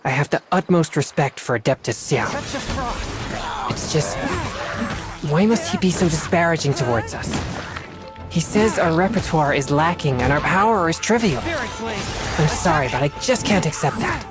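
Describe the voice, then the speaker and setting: A man voices a disciplined teenage exorcist boy from a fantasy video game. His young, soft-edged voice is formal and measured, with an earnest air that is easily flustered. Music plays clearly behind him. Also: a little high, lively intonation, natural voice. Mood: indignant. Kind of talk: scripted video game character dialogue.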